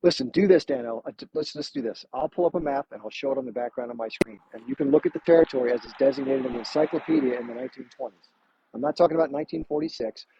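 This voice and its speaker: A man hosting a live stream, arguing forcefully with a caller and talking over him. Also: medium pitch, very fast, breathy edge. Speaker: livestream host. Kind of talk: heated live debate. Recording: muffled live stream with background noise.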